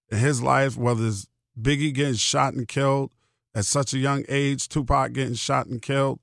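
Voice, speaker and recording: A man's voice: mid-range voice, no room sound. American man rambling casually, very dry sound. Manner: rambling, emphatic repetition, salty slang. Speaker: podcast host. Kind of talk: casual solo podcast monologue.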